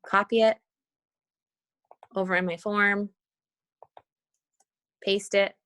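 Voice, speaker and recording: A woman's voice: medium pitch, little pitch movement, slow, a bit bright, clear, resonant tone, dry recording. A woman leading an informal screen-share walkthrough on a team call, talking casually and thinking aloud as she demonstrates. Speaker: team leader giving a tutorial.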